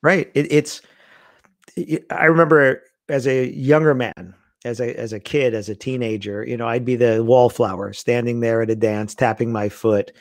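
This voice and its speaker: A man's voice: mid-range voice, normal speed, no echo. A middle-aged man telling stories in a casual conversation, acting out the lines he quotes. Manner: animated storyteller, acts out quoted lines. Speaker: guest.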